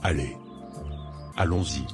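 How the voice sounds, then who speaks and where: Devout, composed family man for animation, male actor, formal and paternal, very deep, slow delivery. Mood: calm, teasing. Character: calm, measured, devout father and husband. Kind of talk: animated drama dialogue. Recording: music behind the voice.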